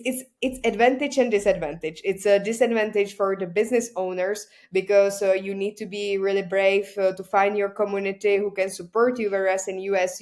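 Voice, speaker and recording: Czech-accented woman host, halting. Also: medium pitch, a little mellow. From Czech Republic. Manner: hesitant, many "uh" fillers, searching for words. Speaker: podcast host. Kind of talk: casual interview chat.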